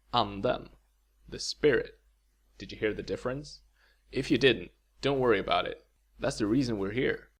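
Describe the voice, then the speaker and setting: A man delivers a scripted video lecture in a low, slightly husky voice. He explains step by step in a clear, measured way. He pauses to say example words for the listener to repeat. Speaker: language teacher.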